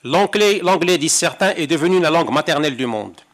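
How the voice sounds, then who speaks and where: A man's voice: a little high, expressive, fast, fairly bright tone. Older Moroccan man reading a wry speech. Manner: wry, rhetorical, ironic asides. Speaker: conference interpreter giving a talk. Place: seminar hall.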